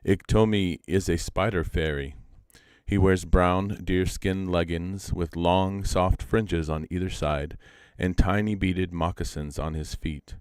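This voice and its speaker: Deep-voiced man reading a storybook aloud. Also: fairly even intonation, unhurried, a little mellow, clear, resonant tone, no room sound, studio quality. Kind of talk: live storybook narration.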